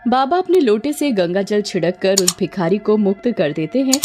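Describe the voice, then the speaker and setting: A woman's voice: mid-range voice, animated, moderate pace, over music. A woman narrating a cartoon horror story from a script.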